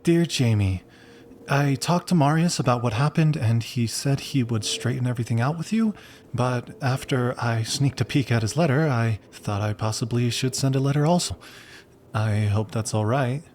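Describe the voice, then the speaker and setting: A man's voice: mid-range voice, normal speed, a little mellow, a little hiss or hum, close to an everyday voice. An actor playing a polite, timid, apologetic man in a game, speaking tentatively and with careful formality. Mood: anxious, apologetic.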